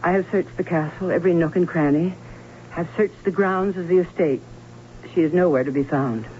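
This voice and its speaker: A woman's voice: lowish voice, fairly quick, lightly acted. Actress as distraught noblewoman, radio drama, old-fashioned formal storytelling, narrow phone-like sound, faint hum. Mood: grave distress. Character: distraught aunt in a castle, a Baron's sister, recounting a haunting.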